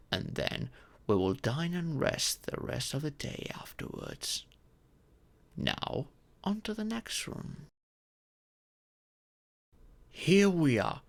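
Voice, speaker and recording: A man voicing a gentle, ancient dragon parent in humanoid form, formal and patient in a scripted audio roleplay. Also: mid-range voice, creaky, exaggerated voice. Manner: formal, measured, patient, explanatory.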